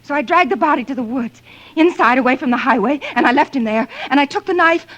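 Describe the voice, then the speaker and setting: An actress playing a distraught, guilt-ridden young woman in an old radio drama, speaking in a halting, anguished way. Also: high-pitched, animated, a bit bright. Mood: frantic, confessing. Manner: halting, anguished, self-accusing. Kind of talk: 1940s-50s radio drama acting.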